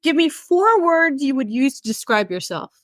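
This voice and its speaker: High-voiced woman hosting a casual interview. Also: very animated, normal speed, dry recording. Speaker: podcast host.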